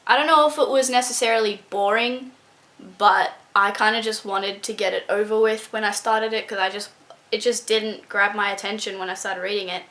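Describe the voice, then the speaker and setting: A woman's voice: mid-range voice, fairly even intonation, moderate pace, a bit bright. A young woman book vlogger chatting casually to camera, with lots of ums and likes.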